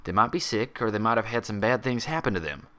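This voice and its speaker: A man's voice: fairly low pitch, expressive, quick pace, pushed and bright. Man as a firm, folksy, protective mare doctor. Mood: patient explaining. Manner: folksy, emphatic, reassuring.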